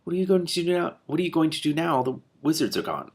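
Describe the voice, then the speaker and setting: A man voicing a small, nervous, excitable talking lizard with a squeaky, fussy, dramatic way of speaking. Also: quick pace. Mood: curious, fussy. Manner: fussy, dramatic, prim exclamations.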